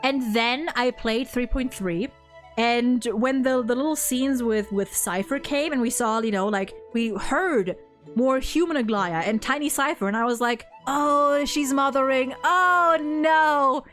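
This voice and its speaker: High-voiced woman gushing casually as a fan. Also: unhurried, a bit bright, soft background music. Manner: effusive, gushing, slangy; rambling, repeats "like". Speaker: video host discussing a game. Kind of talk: casual excited fan discussion.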